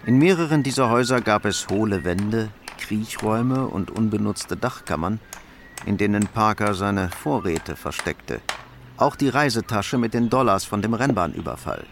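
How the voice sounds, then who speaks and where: An actor as the narrator of a crime radio drama, measured, composed and matter-of-fact. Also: male voice, fairly low pitch, expressive, vocal fry, a little hiss or hum. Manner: measured, literary storytelling.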